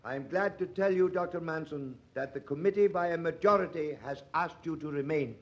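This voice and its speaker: Fair-minded chairman played by a man for vintage radio drama: high male voice, formal, firm, dull old recording. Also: moderate pace, natural voice. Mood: pleased. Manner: formal, authoritative. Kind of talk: 1940s radio acting.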